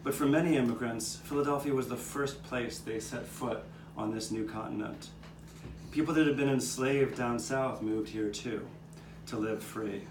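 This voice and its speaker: Puppet show role: man as reflective old male street cat telling his past, measured, recorded from afar over clear noise. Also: mid-range voice, fairly even intonation, lightly acted. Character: old male street cat, reminiscing storyteller with nine lives. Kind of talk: scripted puppet show narration. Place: outdoor courtyard. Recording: distant, echoey live taping with background noise.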